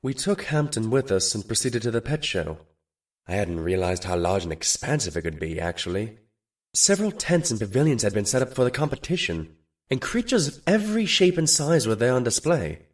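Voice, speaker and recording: Man acting a lively, earnest pony sidekick. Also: medium pitch, brisk. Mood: measured narration. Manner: polite, earnest, wry. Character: loyal exasperated pony sidekick and narrator. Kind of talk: audio drama acting and narration.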